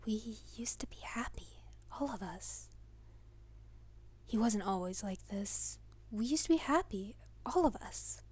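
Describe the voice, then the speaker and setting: A woman playing a gentle, melancholy winged creature with a hushed, breathy, tender delivery for a comic dub. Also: medium pitch, lively intonation, a little slow, pauses often. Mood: sorrowful.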